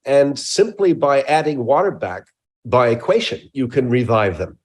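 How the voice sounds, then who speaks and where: A man speaking as a research scientist and interview guest, explaining his work in a measured, technical way with emphatic phrasing. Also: mid-range voice, sing-song.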